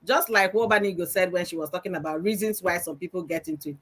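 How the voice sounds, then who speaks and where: A woman's voice: on the low side, fast talker, a bit bright, husky. A woman with a Nigerian accent hosting a casual panel chat, talking in a preachy way and checking again and again that listeners understand. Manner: preachy, asks "you understand?" often. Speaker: host.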